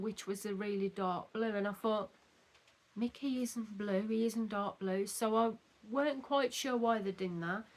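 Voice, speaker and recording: Slow-talking woman, slightly croaky. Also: mid-range voice. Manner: rambling, chatty, with restarts. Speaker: cross-stitch vlogger. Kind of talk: casual chat showing craft progress. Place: at home at a table.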